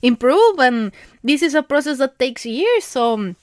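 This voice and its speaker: A woman's voice: high voice, sing-song, measured pace, no room sound, clean studio sound. A woman in her thirties with a Mexican Spanish accent, a native Spanish speaker, talking casually on her podcast, hesitant with many fillers and restarts and frequent light laughs. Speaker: podcast host. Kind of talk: casual monologue.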